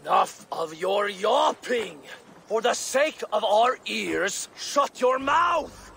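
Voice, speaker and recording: An actor playing an irate, bullying tavern heckler with a gravelly voice in a game cutscene. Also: male voice, high voice, lively intonation, slow pace, a bit bright, a little hiss or hum, full character voice. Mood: furious shouting.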